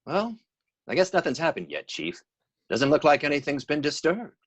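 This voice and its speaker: An actor playing a folksy, uneasy police underling in an old-time radio drama, colloquial and a little hesitant. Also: male voice, on the high side, very animated, normal speed. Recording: noisy, clicky livestream connection.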